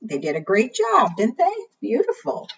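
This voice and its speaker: A woman leading a preschool storytime, reading aloud and talking to young children in an expressive, deliberate, teacherly way. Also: mid-range voice, gravelly, slight background noise. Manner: asks the young listeners frequent simple questions. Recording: muffled, distant microphone.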